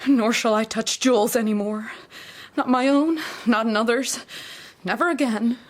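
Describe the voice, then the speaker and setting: A woman playing an anguished young society daughter in a period radio drama, earnest and halting. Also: a little high, natural voice. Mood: anguished confession. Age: young adult.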